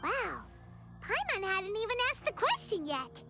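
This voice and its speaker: Tiny excitable fairy companion for a game: extremely high childlike voice, very animated, chatty. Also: female voice, a little slow, loud music behind the voice, exaggerated voice. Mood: impressed, cheeky. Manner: speaks of herself in the third person, exclamatory. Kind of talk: video game voice acting.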